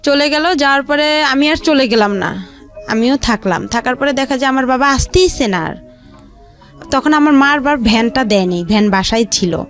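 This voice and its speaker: High-pitched woman telling her story. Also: animated, normal speed. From Rangpur region, Bangladesh. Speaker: interview guest telling her life story. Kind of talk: informal personal storytelling. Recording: music behind the voice. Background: background music.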